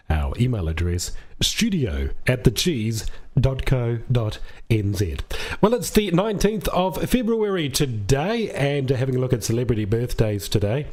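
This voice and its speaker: A man's voice: medium pitch, a little mellow. A New Zealand man presenting a music radio show with friendly, chatty DJ patter. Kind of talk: upbeat music-radio DJ links. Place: radio studio. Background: music under the voice.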